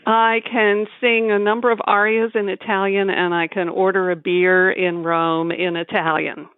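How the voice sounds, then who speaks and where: A woman from the United States, a tax advisor, explaining in a measured, articulate way as a podcast guest. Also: medium pitch, little pitch movement, smooth, dry recording. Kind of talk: podcast interview. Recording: tinny phone-like line.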